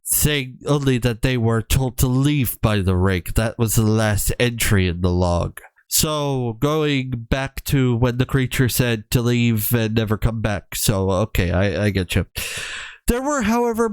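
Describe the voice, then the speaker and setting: A man reading a horror story aloud in a casual, halting way, with wry joking asides. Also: mid-range voice, a little mellow, no room sound.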